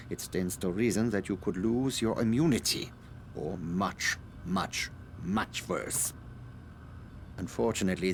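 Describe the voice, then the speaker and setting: Video game scientist: low voice, formal and precise, politely condescending, slippery, ambient noise underneath. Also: male voice. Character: evasive self-justifying male scientist, polite and condescending. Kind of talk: dramatic video game cutscene acting.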